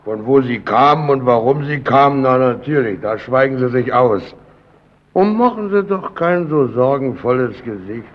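A man's voice: medium pitch, animated, normal speed, close to an everyday voice. An actor playing a menacing superior in a German radio play, needling and mock-friendly as he bullies a timid underling. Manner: needling, insinuating, mock-friendly, swinging from shouts to mutters. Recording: dull, noisy old radio play recording.

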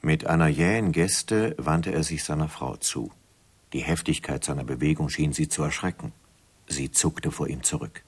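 A man's voice: a bass voice, normal speed, slightly rough. A man reading scripted literary narration for a radio play.

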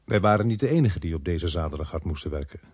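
An actor playing a sober detective who narrates a radio play in a measured, deadpan way. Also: male voice, low voice, husky, no room sound. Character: sober male detective narrating his case.

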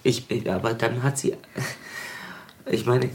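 A man's voice: medium pitch, fairly even intonation, a little slow, a little mellow, a little hiss or hum, lightly acted. A male actor in a radio play playing a distraught, guilt-ridden father who stammers and repeats himself. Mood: rambling, distraught.

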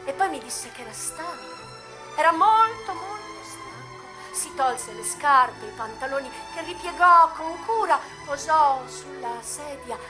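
An actress performing a dramatic radio monologue as a worldly, passionate American madam recalling her youth, expressive and full of pauses. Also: high voice, music in the background, rough recording. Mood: intimate, storytelling.

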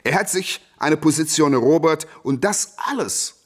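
An actor playing a cocky, mocking boxer in a German radio play, teasing with mock sincerity. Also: male voice, on the high side, lively intonation, normal speed. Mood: mock-sincere.

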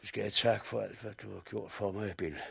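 An actor playing a weary companion in a 1960s radio drama, with a gravelly, raspy voice. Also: male voice, lowish voice, steady tone, fairly bright tone, heavily acted. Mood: weak, resigned. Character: weary, ailing man, a loyal companion.

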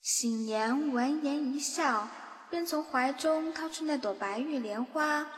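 A woman's voice: sits high, takes it slowly. A woman reading scripted narration for a fantasy radio drama. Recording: long reverb, music underneath. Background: background music.

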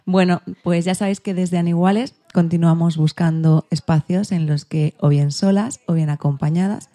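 Woman podcast host, very mellow soft-edged voice. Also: low-pitched. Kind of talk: scripted podcast presentation.

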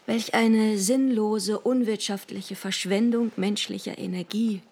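An actress playing an enigmatic, languid woman from a regimented future in a German radio play, with halting, pensive phrasing. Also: medium pitch, fairly even intonation, lightly acted. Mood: quiet, musing. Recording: radio drama with loud music bed. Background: prominent music.

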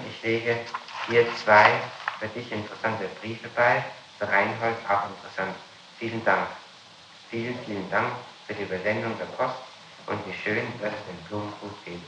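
A man questioning formally as an interrogator and reading documents aloud in a halting, stumbling way, on an old archival tape. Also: lowish voice, steady tone, echoey room, highs cut off, noisy background. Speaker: police or judicial interrogator.

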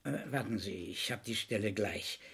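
An actor playing a shrewd, needling middle-aged police inspector in a crime radio play, deliberate and slyly sympathetic. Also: male voice, on the low side, a bit bright, slightly rough, heavily acted. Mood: quietly menacing. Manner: sly, deliberate, feigned sympathy with pauses.

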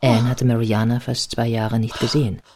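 Eine Frau erzählt als Sprecherin eines literarischen Hörspiels, gemessen und deutlich artikuliert. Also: female voice, extremely low pitch, level tone, dark tone, slight background noise. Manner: measured, articulate. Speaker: narrator. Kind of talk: scripted literary radio narration. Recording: music behind the voice. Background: prominent music.

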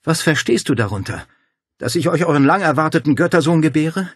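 A man voicing an outraged, defiant young woman with sharp, scornful delivery in an audiobook drama. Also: medium pitch, expressive, moderate pace, breathy edge, no room sound, studio quality, pitched above the performer's own voice.

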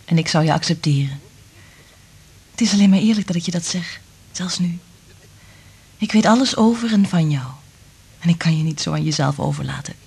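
An actress playing a sensitive, anxious woman in love in a radio play, speaking in a hushed, intimate, half-whispered voice. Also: on the low side, expressive, fairly quick, vocal fry, pauses often, slightly rough, exaggerated voice. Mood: tender. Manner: hushed, intimate, careful phrasing. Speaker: radio drama actor. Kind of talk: Dutch radio play acting.

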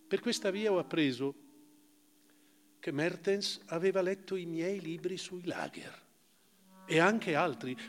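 A man giving a dramatic literary reading as a stage actor, with deliberate phrasing and weighted pauses. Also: fairly high pitch. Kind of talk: dramatic literary reading, first-person narration. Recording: long hall reverb of a live performance.

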